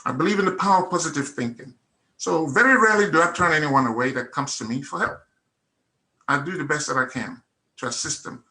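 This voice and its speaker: Guy, middle-aged, bright voice, earnest testimony, hammers the same phrase over and over. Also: fairly high pitch, brisk. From Nigeria. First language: Igbo. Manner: earnest, emphatic, repeats phrases for effect. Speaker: testimonial speaker. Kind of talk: personal testimony at a meetup.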